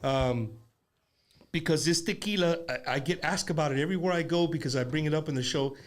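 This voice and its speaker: A man's voice: fairly high pitch, a little fast, slight background noise. A middle-aged man, hosting a loose chat show with a band and rambling as he repeats his words. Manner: rambling, repeats words, mixes in Spanish slang. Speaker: show host.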